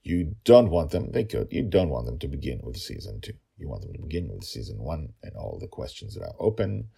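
A man with a very low, gravelly voice narrates a serial story. He has a non-native English accent that stumbles on L's and R's. His delivery is theatrical and emphatic, swinging widely in pitch. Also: big pitch swings, a little fast, dark tone. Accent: non-native English accent, trouble with L's and R's. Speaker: host, writer and narrator of a serial story podcast.

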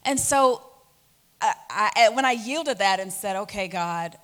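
Woman in her forties, talk show host, earnest, animated storyteller, lots of ums. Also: mid-range voice, slow pace. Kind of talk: faith talk show hosting.

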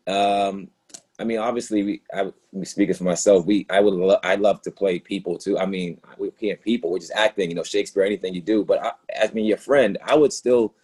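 A man's voice: deep voice, warm tone, breathy edge. A man speaking as an actor in a casual, reflective discussion, earnest and rambling. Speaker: actor in a play reading, answering questions.

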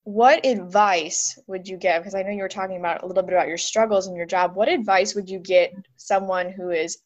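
A woman's voice: medium pitch, steady tone, fairly quick, muffled recording. A woman music teacher interviewing a colleague, talking in long, encouraging, run-on explanations. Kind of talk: casual conversational interview.

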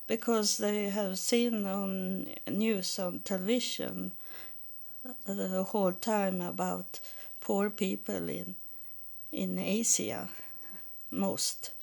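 A woman with a Swedish accent tells her story in English, slowly and haltingly, stopping often to search for words. Her voice has a gravelly, creaky edge at the end of phrases. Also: mid-range voice, a little mellow. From Sweden. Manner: halting, many fillers and restarts. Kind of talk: personal testimony.

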